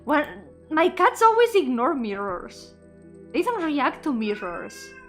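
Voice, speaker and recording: High-voiced woman streaming. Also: big pitch swings, slow, a bit bright. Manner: playful, switches between English and Spanish. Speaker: VTuber streamer. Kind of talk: casual livestream chat with viewers. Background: music.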